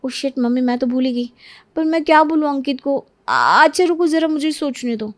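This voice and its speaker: A woman's voice: high voice, animated, normal speed. A woman voicing a whiny, self-absorbed young bride-to-be with a babyish, dramatic way of complaining in a cartoon. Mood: flustered. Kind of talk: Hindi cartoon story acting.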